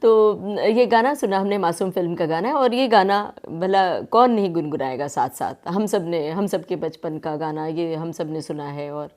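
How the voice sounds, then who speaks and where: A woman hosts a radio interview in a slightly low voice. She speaks courteously and conversationally, addressing her guest with polite questions and comments. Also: moderate pace, hardly any pauses, a little mellow. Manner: courteous, frequent listening murmurs.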